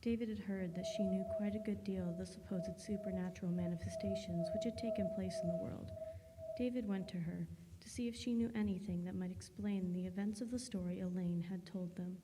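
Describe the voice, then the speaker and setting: A woman's voice: mid-range voice, fairly even intonation, a little fast, close to an everyday voice. A woman narrating a radio drama from a script. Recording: very noisy, reverberant hall recording.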